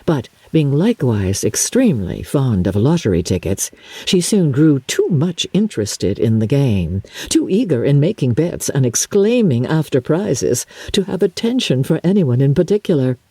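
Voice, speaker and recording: A woman reads a classic novel as a dramatic audiobook. Her voice is husky and mellow, swooping expressively across the sentences. She phrases deliberately and theatrically, recorded close and dry. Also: very deep voice, sing-song, no echo. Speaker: audiobook narrator, radio host and actress.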